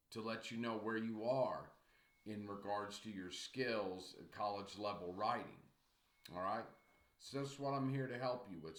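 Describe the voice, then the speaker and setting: Slow-talking man lecturing in an echoey room. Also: medium pitch. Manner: slow, repetitive, encouraging teacher talk with frequent throat clearing. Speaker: college writing instructor. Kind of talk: recorded video lecture to a class. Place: small home room indoors. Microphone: webcam at desk. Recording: echoey room with faint background noise.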